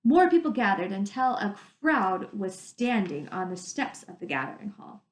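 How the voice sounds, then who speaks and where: A woman's voice: medium pitch, big pitch swings, normal speed, reverberant, low-quality recording. A woman reading a storybook aloud as a teacher, in a narrating style with casual wording.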